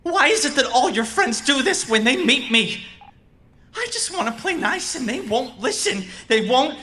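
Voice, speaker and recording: A woman's voice: high-pitched, very animated, normal speed, bright, forceful tone, slight background noise, heavily acted. A woman playing a childlike robot boy in an audio drama, polite but plaintive and petulant, with menace underneath. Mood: wounded, pleading.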